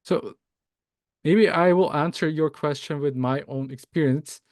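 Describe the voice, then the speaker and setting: A man's voice: fairly high pitch, a little slow, a little mellow, no room sound. Hesitant man, Tagalog native, dry sound. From Austria. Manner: hesitant, many fillers and self-corrections. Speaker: researcher and author, interview guest. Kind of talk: thoughtful interview answers.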